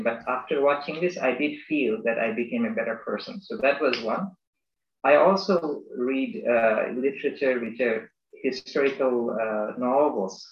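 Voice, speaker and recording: Academic man from Bangladesh, reflective and halting, echoey lo-fi room. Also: medium pitch, slight background noise. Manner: thoughtful, halting, many restarts and fillers. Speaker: business school academic. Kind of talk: conversational interview.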